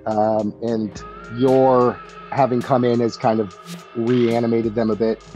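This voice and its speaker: A man improvising narration as a game master, speaking in short phrases with pauses between them. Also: medium pitch, flat delivery, dark tone, lo-fi sound, soft background music.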